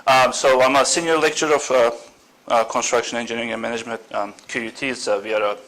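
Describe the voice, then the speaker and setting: A man's voice: medium pitch, steady tone, fast talker, a bit bright, low-quality recording. A middle-aged man from Turkey giving an informal academic seminar talk, hesitant with many fillers and self-deprecating asides. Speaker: seminar speaker, senior lecturer. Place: seminar room.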